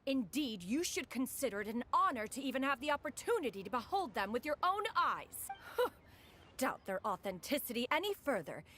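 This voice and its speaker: Haughty immortal lady, lively intonation. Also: high-pitched, fairly quick, full character voice. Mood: indignant, haughty. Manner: haughty, formal, archaic phrasing. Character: haughty immortal adeptus woman, centuries old, proud and imperious. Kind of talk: anime game voice acting. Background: game music.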